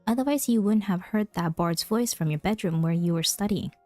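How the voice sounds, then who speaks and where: An easygoing young male bard from a video game, acted by a woman. The boyish voice is soft-edged and mellow, recorded very dry over faint music. He sounds playful and encouraging. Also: mid-range voice, fairly quick, no echo, close to an everyday voice.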